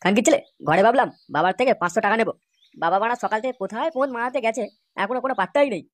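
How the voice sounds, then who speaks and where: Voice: mid-range voice, racing, lightly acted. A performer voicing a hot-headed, crude young male layabout in a comedy cartoon, blustering and swearing. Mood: angry blustering. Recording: noisy background hiss.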